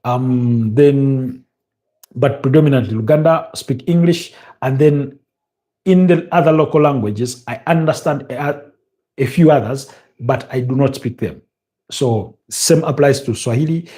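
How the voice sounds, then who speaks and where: Man, Ugandan accent, warm mellow voice, football preview host, halting, lots of fillers. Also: medium pitch. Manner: halting, many fillers and restarts. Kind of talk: casual live-stream football talk.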